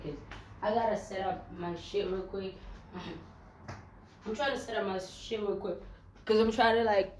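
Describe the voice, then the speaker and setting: A woman with a flu-roughened voice, talking casually to her viewers in a rambling vlog, full of slang and repeated fillers. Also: medium pitch, a little mellow, a little hiss or hum. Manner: slangy, repeats fillers like "you know what I'm saying". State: hoarse from flu. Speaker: vlogger.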